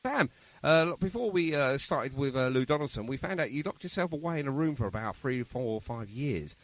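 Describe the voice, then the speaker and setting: A man's voice: medium pitch, animated, fairly quick, fairly bright tone. A man hosting a chatty radio interview in a jovial, encouraging manner. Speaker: radio show host.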